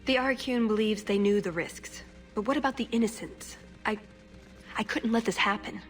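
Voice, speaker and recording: An actress playing an earnest, principled secret agent in a video game, speaking in a sincere, conversational way. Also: female voice, mid-range voice, moderate pace, soft background music, close to an everyday voice. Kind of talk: video game dramatic acting.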